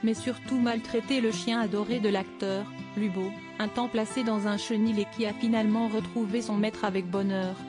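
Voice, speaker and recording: The monotone, synthetic-sounding woman you would hear reading out gossip articles on an automated slideshow video, music playing along behind her. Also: mid-range voice, normal speed, hardly any pauses. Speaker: narrator of a celebrity news video. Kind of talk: scripted narration. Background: background music.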